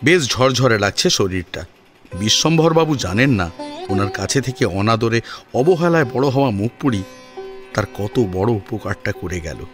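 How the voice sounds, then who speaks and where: Animated male story narrator, swooping pitch. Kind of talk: dramatic scripted story narration. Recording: music under the voice.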